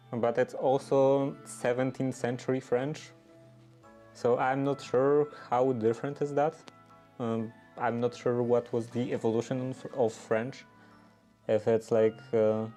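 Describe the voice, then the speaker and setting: A man with a Polish accent talks slowly in a flat, unhurried voice, stopping often to search for words as he answers viewers on a livestream. His tone is mellow and soft-edged, with faint music playing behind him. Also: medium pitch. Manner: hesitant, thinking aloud with many fillers and restarts. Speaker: livestream host.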